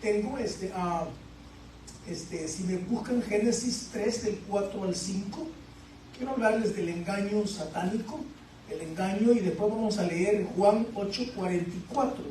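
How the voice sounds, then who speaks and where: Man pastor, sermon style, mellow tone, recorded far off in a very echoey church. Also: high-pitched, unhurried, a little hiss or hum. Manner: asks the congregation questions, fillers like "este". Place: church sanctuary.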